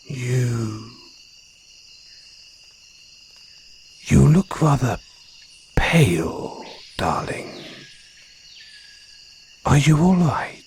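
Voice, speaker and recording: A man acting a territorial male naga in an intimate audio roleplay, speaking in a hushed, deliberate, breathy voice with long pauses. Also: mid-range voice, sing-song, slight background noise, full character voice. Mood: concerned, tender. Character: wary, territorial male naga, softening into flirtatious care. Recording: jungle insect ambience behind voice. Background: crickets and jungle ambience.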